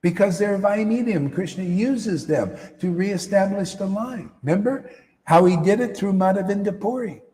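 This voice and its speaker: A man giving a lively spiritual talk to a live audience, slangy and repetitive, often calling listeners "man". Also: high-pitched, normal speed, in a large hall. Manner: animated, slangy, repeats phrases, calls listeners "man". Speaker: spiritual teacher. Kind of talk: casual live-stream talk.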